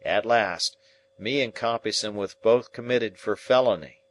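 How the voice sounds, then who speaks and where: A man voicing a rough old male convict, gruff and plainspoken, in a rustic dialect. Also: fairly low pitch, measured pace, natural voice. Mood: grim storytelling.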